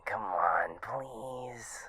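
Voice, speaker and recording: A man acting a teasing young male gamer friend in a casual audio roleplay, halting and playful, with a breathy voice. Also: lowish voice, bright, forceful tone, no room sound, heavily acted. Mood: pleading, teasing. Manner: halting, playful, pleading banter.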